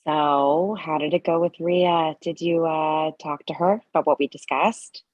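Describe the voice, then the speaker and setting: A woman playing a prying mother with a husky voice in a comedy script table read. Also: low voice, fairly even intonation, a little slow, a little mellow, dry recording, muffled recording. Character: prying mother wanting grandkids.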